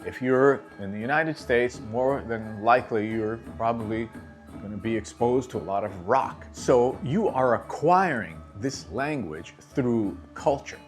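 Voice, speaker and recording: A man teaching drumming in a relaxed, conversational way, asking rhetorical questions and checking in with his listeners. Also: mid-range voice, a little slow, loud music behind the voice. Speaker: drum teacher. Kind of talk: instructional talk to camera.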